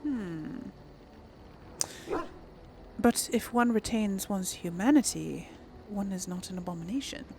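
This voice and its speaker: A woman's voice: mid-range voice, slow pace, long pauses, darkish tone, a little hiss or hum, low-quality recording. A woman hosting a gaming video, giving casual commentary and lightly role-playing dialogue. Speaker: gamer, video host.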